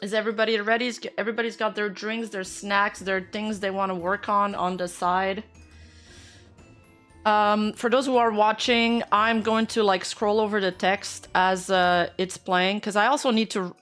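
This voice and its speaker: A woman with a somewhat rough, hoarse voice, chatting informally as she hosts a livestream. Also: medium pitch, level tone, moderate pace, a bit bright, faint music behind. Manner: informal, giggly. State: dry, hoarse voice. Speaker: livestream host. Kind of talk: casual chat to livestream viewers.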